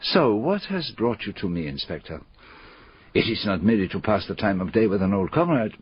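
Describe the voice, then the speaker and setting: An actor playing a fastidious, courteous foreign detective with a French accent and formal, precise phrasing in a radio drama. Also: male voice, on the low side, very animated, breathy edge, full character voice. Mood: probing, assured. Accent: French-speaking European. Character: fastidious, courteous foreign male private detective, sharp and unruffled. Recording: muffled radio drama recording.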